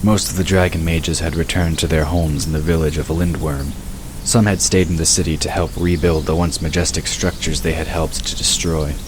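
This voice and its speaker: A man's voice: very deep voice, steady tone, normal speed. A man giving a scripted narration of a fantasy audiobook. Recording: noisy, birdsong and crickets behind. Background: birdsong, crickets, outdoor ambience.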